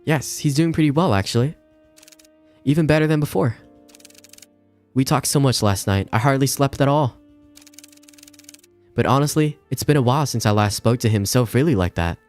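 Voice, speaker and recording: A man voicing a polite, gentle young woman in a visual novel, courteous and soft-mannered. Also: mid-range voice, expressive, moderate pace, long pauses, voice pushed up, natural voice. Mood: cheerful. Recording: music behind the voice.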